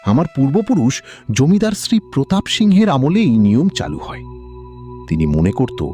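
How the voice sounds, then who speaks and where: Young landlord's heir, male actor: proud, insistent, theatrical cartoon delivery, hugely animated pitch over faint music. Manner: theatrical, emphatic, questioning. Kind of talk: dramatic cartoon voice acting.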